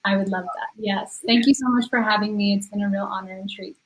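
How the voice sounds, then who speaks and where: A woman appearing as a guest, speaking in a gracious, soft-spoken way. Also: mid-range voice, steady tone, fairly quick, rough recording. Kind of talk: casual interview chat.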